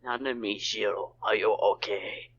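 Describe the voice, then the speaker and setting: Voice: mid-range voice, fairly even intonation, measured pace, fairly bright tone, a little hiss or hum, close to an everyday voice. A person voicing an earnest young man calling to his childhood friend in an amateur visual novel read-aloud, on a dull lo-fi recording. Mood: concerned.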